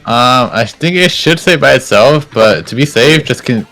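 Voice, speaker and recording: A man's voice: medium pitch, animated, moderate pace, a bit bright, faint music behind. A man chatting casually as a co-commentator on a gameplay video. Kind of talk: casual chat over gameplay.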